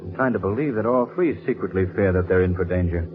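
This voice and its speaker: Actor as a low-voiced gentleman sleuth, old radio. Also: close to an everyday voice. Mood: thoughtful, measured. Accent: American. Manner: measured, thoughtful, reassuring. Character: calm, shrewd gentleman amateur sleuth, adult man. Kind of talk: 1940s radio mystery acting. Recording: muffled, faintly noisy 1940s radio recording.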